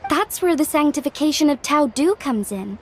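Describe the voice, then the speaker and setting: A woman's voice: high voice, lively intonation, normal speed, slight background noise. A woman playing a knowledgeable young woman in a video game, explaining legends in an articulate way. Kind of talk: video game dialogue acting. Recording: music behind the voice.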